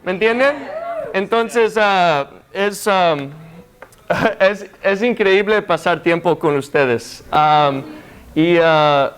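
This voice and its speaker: A man's voice: high-pitched, very slow, fairly bright tone. A young American man whose first language is English, preaching a hesitant sermon in a second language with many fillers and restarts, speaking to a congregation. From United States. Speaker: guest preacher.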